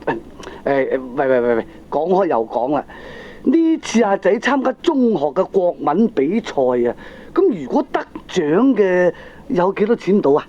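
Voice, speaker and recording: A man's voice: high-pitched, sing-song, normal speed, warm tone. An actor playing a foolish, money-grubbing middle-aged father and gambler in a Cantonese comedy radio drama, with a Hong Kong accent and exclamatory, wheedling, argumentative speech. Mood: bickering, grasping. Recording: dull lo-fi old cassette tape.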